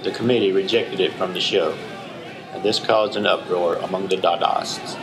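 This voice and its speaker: A man delivers scripted educational narration. His voice sits somewhat low in pitch. There is noticeable noise behind him throughout the recording. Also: steady tone, moderate pace.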